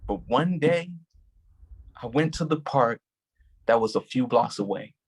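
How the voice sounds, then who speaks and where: An actor reading the part of a calm male police officer who consoles a grieving woman and tells a childhood story in a measured way. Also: mid-range voice, breathy edge, low-quality recording. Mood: reflective. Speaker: actor in a staged play reading. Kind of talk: staged play reading.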